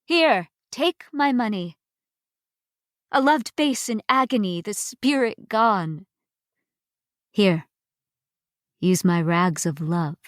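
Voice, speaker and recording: A woman giving an expressive poetry reading, deliberate and pausing between phrases. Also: medium pitch, no room sound, studio quality. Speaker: reader of poetry. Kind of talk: dramatic poetry recitation.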